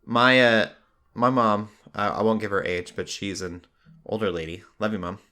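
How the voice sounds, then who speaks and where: A man hosts a relaxed, conversational podcast. His voice sits fairly low but has a sharp, bright edge. He talks like an enthusiastic fan making recommendations to listeners. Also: moderate pace. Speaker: podcast host. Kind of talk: casual pop culture podcast chat.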